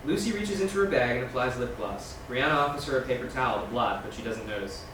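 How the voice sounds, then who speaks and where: A man's voice: mid-range voice, expressive, fast. A man reading scene directions as scripted narration at a screenplay table read. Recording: distant, very echoey room with background noise.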